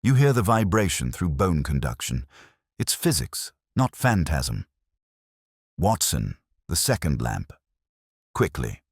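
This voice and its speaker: Man narrating as commanding male Victorian detective, keen, formal, precise, very deep, expressive intonation, audiobook. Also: slow, husky, no echo, studio quality, full character voice. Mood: urgent, commanding. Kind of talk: audiobook character dialogue.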